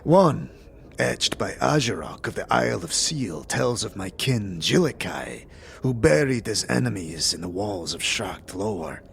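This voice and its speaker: A man's voice: on the low side, big pitch swings, unhurried, vocal fry, heavily acted. A man voicing a proud, scarred alien soldier who speaks in grave, formal, archaic phrases in a fantasy roleplay. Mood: solemn, reverent. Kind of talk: tabletop-style fantasy roleplay. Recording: faint background noise.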